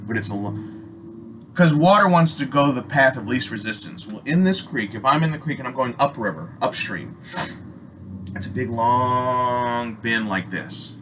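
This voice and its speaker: A man from Alaska with an American accent telling a personal story casually, in an animated, rambling, slangy way full of swearing. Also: mid-range voice, moderate pace. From Alaska, United States. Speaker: storyteller, host. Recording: noisy amateur recording with ambient sound.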